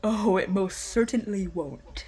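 A woman's voice: mid-range voice, takes it slowly, darkish tone, breathy edge. A woman playing an eccentric, relentlessly upbeat campus tour guide in a comedic audio drama, with a theatrical delivery. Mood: puzzled, matter-of-fact.

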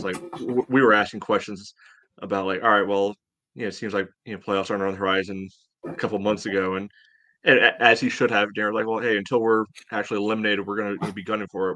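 A man co-hosting a sports podcast, chatting casually and thinking aloud in a halting, conversational way. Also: fairly low pitch, fairly even intonation, sharp tone. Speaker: podcast host.

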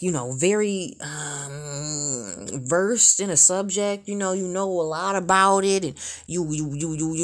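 Woman in her late 20s rambling and cussing, lowish voice that swoops all over. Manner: profane, animated storytelling with frequent fillers. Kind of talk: casual rambling monologue.

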